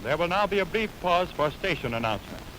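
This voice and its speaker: A man's voice: fairly high pitch, expressive, fast. A man working as a radio announcer, making formal, declamatory announcements in 1920s style. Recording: slightly noisy 1929 optical-film radio aircheck.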